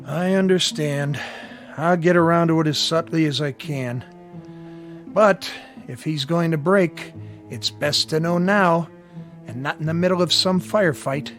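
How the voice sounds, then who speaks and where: An actor playing a frank, world-weary army medical sergeant in a war radio drama. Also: male voice, on the high side, unhurried, a bit bright, soft background music. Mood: measured, serious. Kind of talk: audio drama acting.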